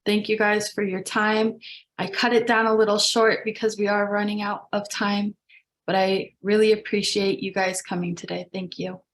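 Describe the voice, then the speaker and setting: Young woman, advocate, reads her own speech earnestly over a call mic; mid pitch. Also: moderate pace. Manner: earnest, steady, with small restarts. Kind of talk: reading a prepared personal speech.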